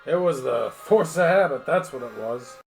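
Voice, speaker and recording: An actor playing a rueful, grumbling old-time police lieutenant with folksy working-class talk in a radio play. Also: male voice, mid-range voice, lively intonation, moderate pace, creaky, a little mellow.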